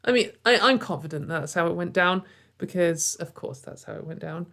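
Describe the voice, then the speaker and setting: A woman's voice: lowish voice, animated, moderate pace, vocal fry, a bit bright. Sarcastic, exasperated woman. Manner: exasperated, sarcastic, frequent sighs and fillers. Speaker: commentary video host. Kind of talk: casual reaction commentary.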